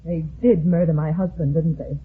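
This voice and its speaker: An actress playing a frightened, meek widow in an old-time radio drama, timid and hesitant. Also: female voice, deep voice, steady tone, normal speed, lightly acted. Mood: shaken, timid. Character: frightened, meek young-sounding widow seeking the detective's help. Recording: muffled, hissy old radio recording.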